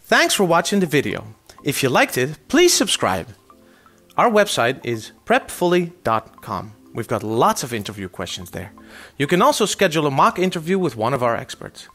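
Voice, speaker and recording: A man's voice: a little high, wildly swooping pitch, normal speed, fairly bright tone, faint music behind. A man narrating a scripted promotional outro as an announcer.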